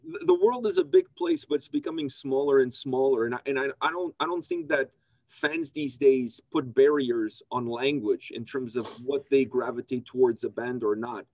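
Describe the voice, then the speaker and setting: A man's voice: medium pitch, moderate pace, darkish tone, no echo. A man interviewing a musician, asking long, enthusiastic, rambling questions. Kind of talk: casual interview.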